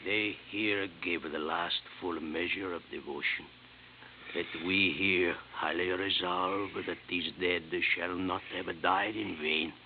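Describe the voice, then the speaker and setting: A very deep-voiced old retainer, humble and solemn, who speaks haltingly and with great deference. It is a muffled old radio play with clear background noise. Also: male voice, fairly even intonation. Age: older. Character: humble old manservant, devoted, solemnly reciting learned speeches. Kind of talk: old-time radio acting. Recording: muffled, noisy vintage radio recording.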